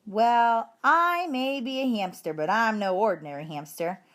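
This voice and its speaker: A woman's voice: fairly high pitch, measured pace, a bit bright, voice pushed up. A woman reading a children's storybook, voicing a proud, eager little male hamster in a chatty, self-important way. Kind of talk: children's storybook read-aloud.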